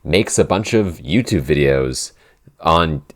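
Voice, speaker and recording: A man with an extremely deep voice talks casually as a podcast co-host. He works things out as he speaks, rambling and qualifying himself, with an enthusiastic, unscripted delivery. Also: animated, slow. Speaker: podcast co-host and show creator.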